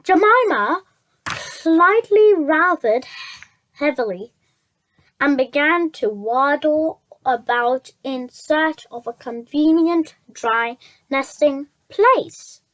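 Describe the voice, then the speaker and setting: Storybook reader, high pitch, very unhurried, halting delivery with repeated words. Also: expressive, fairly bright tone, rough recording. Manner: halting, stumbles over words and repeats phrases. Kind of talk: children's story read aloud.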